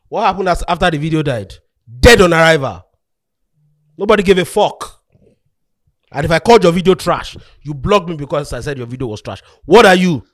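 A man's voice: a little high, dry recording, clean studio sound. A man hosting a podcast debate, talking emphatically and repeating himself to drive points home. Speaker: podcast host.

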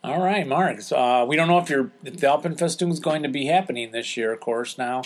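A man's voice: medium pitch, brisk. A man hosting a podcast in a casual, conversational way, chatting and reading out listener letters with some hesitations. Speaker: podcast host.

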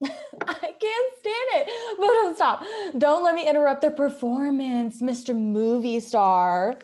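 Woman as a high-voiced, mocking radio wife. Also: animated, a little mellow. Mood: mocking amusement. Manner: teasing, drawn-out sarcasm. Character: mocking, amused wife of a daydreaming husband. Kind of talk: classic radio comedy play acting.

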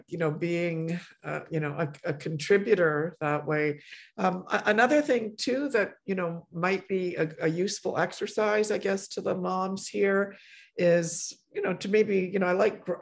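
A woman's voice: fairly low pitch, unhurried, vocal fry. A middle-aged woman hosting a podcast, chatting casually with many fillers and restarts. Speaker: podcast host. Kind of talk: casual conversation.

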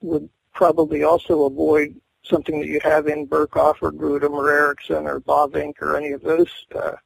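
A middle-aged male seminary professor answering interview questions in a measured, thoughtful way, pausing often with fillers. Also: on the high side, monotone, creaky, no echo, low-quality recording.

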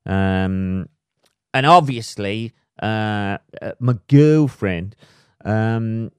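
A man, a little hoarse, ranting comically and swearing constantly in a rambling solo podcast monologue. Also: on the low side, very slow, dry recording, clean studio sound. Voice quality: heavy swearing, rambling with many fillers. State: slightly hoarse. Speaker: podcast host. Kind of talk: solo comedic rant.

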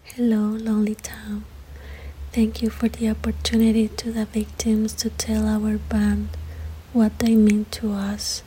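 A woman from Costa Rica with a Spanish accent and a breathy, whispery voice, giving a prepared thank-you message as a fan. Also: mid-range voice, monotone, unhurried, warm tone, slight background noise, poor audio. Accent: Costa Rican Spanish.

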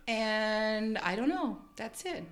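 A woman's voice: fairly high pitch, lively intonation, slow. Thirties woman, native Portuguese speaker, giggly casual co-host. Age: 30s. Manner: giggly and chatty. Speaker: podcast co-host. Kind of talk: casual chat. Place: workplace.

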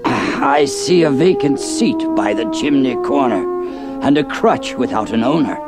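An actor playing a grave, kindly spirit in a radio play, solemn and deliberate, over loud music. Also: male voice, mid-range voice, animated, natural voice.

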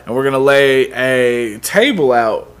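A man's voice: medium pitch, expressive, unhurried, smooth. A man co-hosting a casual podcast, laid-back and laughing often as he talks through plans. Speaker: podcast co-host. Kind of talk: casual banter.

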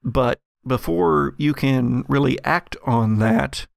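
A game master narrating a tabletop adventure in a dramatic, deliberate storytelling manner. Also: mid-range voice, vocal fry, dry recording, clean studio sound.

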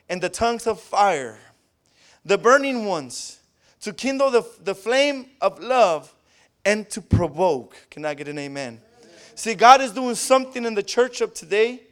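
A man with a Spanish accent preaching a sermon, tacking an amen onto nearly every phrase and rising into loud fervent bursts. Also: very high-pitched, smooth. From Southern California. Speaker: pastor.

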